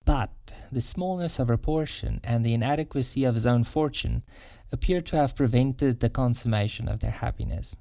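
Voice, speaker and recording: A man narrates a non-fiction audiobook in a measured, formal storytelling way. His tone is mellow and relaxed, with a medium pitch. The recording is close and very dry. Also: creaky, no echo.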